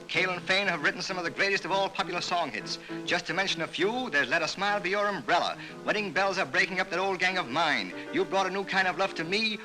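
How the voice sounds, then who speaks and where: A man's voice: high voice, low-quality recording. An American man narrating a 1930s newsreel from a script in a jaunty, wisecracking manner, on a vintage soundtrack.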